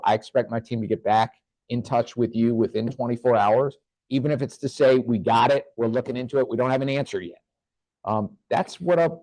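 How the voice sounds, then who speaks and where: A man speaking as a government official at a community meeting, answering questions in an earnest, measured way with occasional restarts. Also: medium pitch, dark tone, no room sound, low-quality recording.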